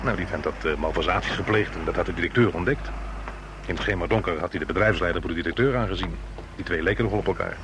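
A brisk male detective in a radio play, talking quickly in a lowish voice. He reports matter-of-factly and colloquially, with plain noise on the old recording. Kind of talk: Dutch radio drama dialogue.

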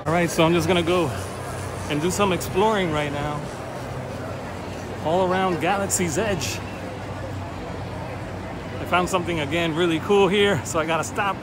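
A man's voice: sits high, steady tone, normal speed, long pauses, background noise. A middle-aged man vlogging a casual walking tour, chatting enthusiastically as he walks, filmed on a handheld camera at arm's length outdoors. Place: outdoor theme park. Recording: outdoor phone video with crowd and music. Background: loud music and crowd.

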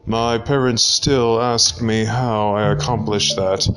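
A man giving a dramatic scripted reading of a horror story, in a deliberate storytelling manner with pauses. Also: fairly low pitch, fairly even intonation, fairly bright tone, faint music behind, low-quality recording.

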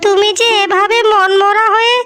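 A woman's voice: extremely high pitch, little pitch movement, normal speed, sharp tone, faint music behind. A woman voicing an earnest, sorrowful young married village sister in a cartoon story, with a pleading delivery. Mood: pleading, caring.

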